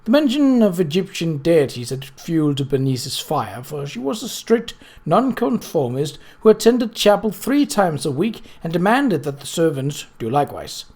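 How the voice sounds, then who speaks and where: A man reading a novel aloud as narrator, in a measured, formal storytelling manner. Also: on the high side, big pitch swings, a little mellow. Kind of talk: scripted audiobook narration.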